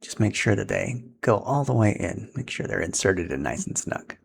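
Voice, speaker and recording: A man walks through a hands-on setup in an informal, instructional style. His voice sits low and has a gravelly, creaky edge. Faint background noise runs under the recording. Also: animated, normal speed. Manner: informal, instructional, talking while handling equipment. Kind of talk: casual step-by-step tutorial.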